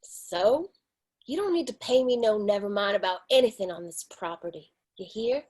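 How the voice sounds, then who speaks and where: A woman's voice: medium pitch, animated, moderate pace, darkish tone, natural voice. A woman reading a stern, suspicious middle-aged saloon co-owner who scolds and orders people off her property. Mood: angry. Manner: scolding, commanding. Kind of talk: screenplay table read.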